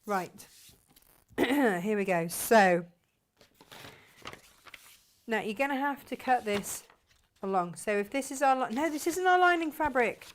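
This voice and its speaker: Chatty woman, slightly croaky. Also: a little high, animated, slow, long pauses, slight background noise. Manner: chatty, self-deprecating, talks to viewers while working. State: croaky from hay fever. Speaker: sewing tutorial host. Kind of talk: casual craft demonstration.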